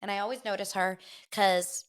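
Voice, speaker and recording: A woman's voice: mid-range voice, steady tone, clear, resonant tone. A middle-aged woman hosting her podcast in a casual, gossipy chat, a little congested from a head cold. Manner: chatty and gossipy, quick asides. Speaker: podcast host.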